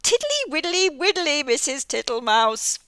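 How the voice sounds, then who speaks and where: A woman's voice: sits high, sing-song, unhurried, a bit bright, no room sound, clean studio sound, voice pushed up, heavily acted. A British woman voicing a greedy, toothless male toad who chants in a wheezy, sing-song way. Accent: British English.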